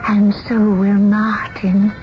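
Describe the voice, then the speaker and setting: Actress as a slow, dreamy, deluded spinster bride. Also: female voice, mid-range voice, little pitch movement, close to an everyday voice. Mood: dreamy, blissful. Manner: genteel, dreamy. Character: deluded, gracious, lonely spinster seamstress playing blissful bride. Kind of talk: radio drama acting. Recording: dull vintage radio recording with music.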